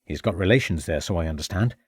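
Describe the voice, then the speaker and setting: A man's voice: sits low, animated, fast, a little mellow, slightly rough. A man voicing a young, red-faced village constable who reports earnestly and deferentially.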